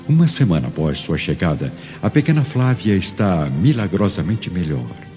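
Very deep Brazilian male narrator, reverberant. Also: very animated, normal speed, warm tone. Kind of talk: dramatic scripted radio narration. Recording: hall reverb, dull audio, music underneath.

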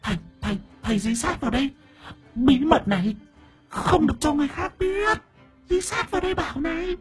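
Shrill strained demon, sly and vulgar, whining and wheedling, very high pitch, storybook villain, music behind. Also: male voice, brisk. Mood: sly whisper. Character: sly, vulgar hungry demon possessing villagers, pleading then mocking.

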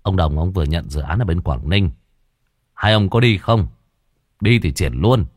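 A man's voice: sits low, expressive, moderate pace, a little mellow, no room sound, clean studio sound. A man voicing a world-weary, stern village spirit master who talks in a brooding mutter. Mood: businesslike.

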